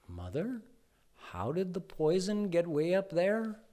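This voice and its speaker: A man's voice: wildly swooping pitch, takes it slowly, mellow, exaggerated voice. A man voicing the small boy Krishna, an impatient child who whines and insists. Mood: curious. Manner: whining, insistent, high childish pleading.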